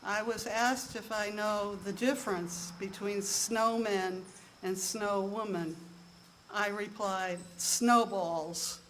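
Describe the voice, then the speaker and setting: A woman with a soft voice performing a deadpan comic monologue at a live show, in a measured, list-like delivery with timed pauses. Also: mid-range voice, very noisy.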